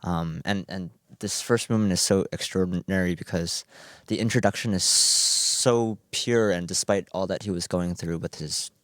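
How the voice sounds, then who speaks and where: A man's voice: low voice, normal speed, hardly any pauses, a bit bright, dry recording, studio quality. A man in his twenties from New Jersey, a cellist and music student, speaking earnestly in a radio interview with many uhs and ums. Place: radio performance studio.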